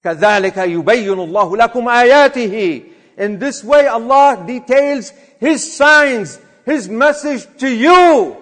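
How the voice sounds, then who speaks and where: High-voiced preacher in a reverberant hall. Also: male voice, lively intonation. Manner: impassioned, swinging from loud urging to quiet reflection; chants Arabic verses rapidly, then translates. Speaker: preacher giving a Friday sermon. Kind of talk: sermon. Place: mosque prayer hall.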